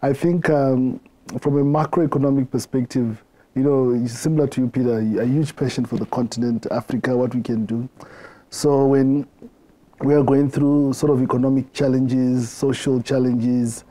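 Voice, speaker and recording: Mellow middle-aged Zimbabwean man. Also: mid-range voice, steady tone, moderate pace, rough recording. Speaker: interview guest. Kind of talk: conversational interview answers.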